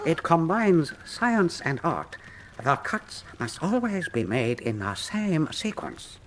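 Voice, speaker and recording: Courteous, sadistic master torturer, slow and theatrical. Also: male voice, a little high, very animated, background noise. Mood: gloating, methodical. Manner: formal, ceremonious, deliberate. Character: courteous, proud, sadistic old-world Chinese master torturer. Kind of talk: radio drama acting.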